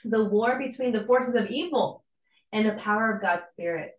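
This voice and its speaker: Young woman, slightly hoarse, encouraging conversational preacher, roomy echo. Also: mid-range voice, moderate pace. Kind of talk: devotional sermon.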